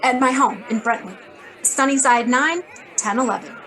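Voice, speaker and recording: A sardonic woman editor in a noir radio play. The voice is fairly high, clipped and blunt, with some crowd murmur behind it. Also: moderate pace, fairly bright tone. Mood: businesslike. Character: brisk, sardonic, worried female magazine editor. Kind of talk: hard-boiled radio drama acting.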